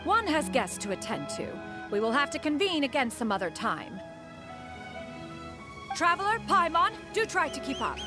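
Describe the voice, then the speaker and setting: A woman's voice: high-pitched, very animated, normal speed. A woman voicing a proud ancient crane spirit who speaks formally and calls herself "one", in a game cutscene. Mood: haughty. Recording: game audio with music underneath.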